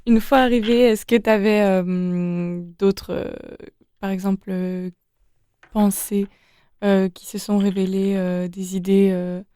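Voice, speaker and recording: Mellow woman asking questions, dry close sound. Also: medium pitch, clean tone, dry recording. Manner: slow, hesitant questioning with fillers. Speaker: interviewer. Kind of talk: interview questions.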